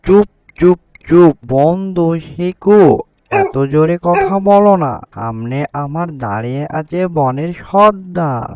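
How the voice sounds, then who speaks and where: A man voicing a nervous, cautious deer in a cartoon, with slow, drawn-out calls. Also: a little high, a little mellow, dry recording. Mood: anxious, hushing. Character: nervous, cautious male deer hushing his friend. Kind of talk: cartoon dialogue. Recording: music behind the voice.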